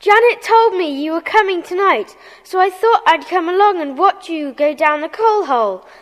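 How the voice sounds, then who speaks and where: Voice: very high voice, animated, moderate pace, fairly bright tone. An actor playing an eager, helpful schoolboy in a children's audio drama. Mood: surprised, eager. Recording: echoey hall reverb.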